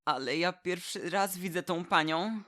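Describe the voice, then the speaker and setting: A man's voice: high voice, measured pace, bright, forceful tone, dry recording, clean studio sound. A man playing an innocent stone statue of a boy in an audio drama, speaking earnestly and plainly. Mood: puzzled.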